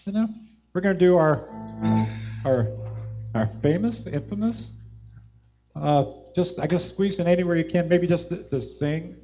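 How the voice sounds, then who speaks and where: A man's voice: high voice, measured pace, long pauses, warm tone. A man hosting a live music show with casual, joking, rambling banter. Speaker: show host.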